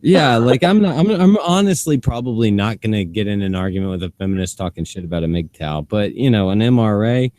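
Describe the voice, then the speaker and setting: A man's voice: fairly low pitch, a little fast, few pauses, darkish tone, dry recording. A man co-hosting a casual online radio chat, laid-back and wry, restarting his sentences often. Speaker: co-host.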